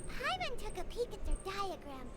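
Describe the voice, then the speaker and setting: A woman voicing a tiny, childlike, cheeky fairy companion with a squeaky voice in a video game. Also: very animated, moderate pace, heavy background noise. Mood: informative.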